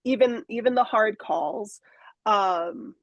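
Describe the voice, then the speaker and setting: A woman's voice: a little high, no room sound, dull audio. Reflective woman in her forties, dry sound. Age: 40s. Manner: reflective, halting, many fillers and restarts. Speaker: coaching client. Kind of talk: candid conversational interview.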